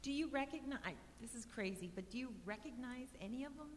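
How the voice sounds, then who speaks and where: A woman's voice: high voice, expressive, quick pace, a crowd in the background. A woman who manages a residential park, answering residents' questions at a meeting in a plain, restart-prone way. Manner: plainspoken, frequent restarts and fillers. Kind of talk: casual meeting talk. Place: community meeting hall. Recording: reverberant hall with faint hum.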